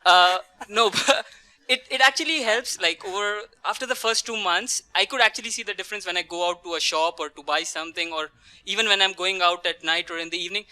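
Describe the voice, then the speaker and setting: Young male student panelist, high-pitched and crisp, giving a casual testimonial. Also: a little fast, bright, forceful tone. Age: young adult. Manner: chuckles while talking.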